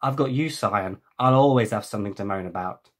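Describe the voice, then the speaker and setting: Man playing a grumbling, husky fussy boy. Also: lowish voice, brisk, voice pushed down, lightly acted. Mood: grumbling, dismissive.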